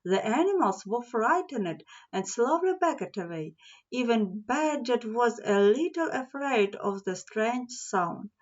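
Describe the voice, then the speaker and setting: Slow-reading woman telling children's tales. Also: fairly high pitch, highs cut off. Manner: deliberate, expressive reading. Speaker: audiobook narrator. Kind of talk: scripted children's storytelling.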